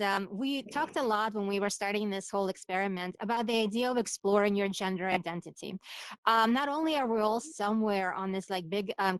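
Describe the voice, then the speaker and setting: A woman's voice: medium pitch, smooth, no echo, rough recording. Woman with a light Ukrainian accent. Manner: conversational, frequent ums and likes. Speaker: startup co-founder giving a talk. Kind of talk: presentation talk.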